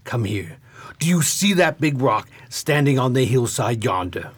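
A man playing a knowing, reassuring guide in a radio play, husky-voiced and explanatory. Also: medium pitch, big pitch swings, measured pace. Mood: calm, explanatory.

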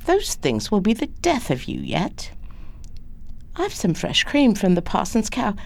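Woman as a lively, creaky, fussing housekeeper. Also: mid-range voice, a little slow, heavily acted. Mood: cheery, fussing. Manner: folksy, fussing, chatty. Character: chatty, motherly, gossipy housekeeper, folksy and fussing. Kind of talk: audio drama acting.

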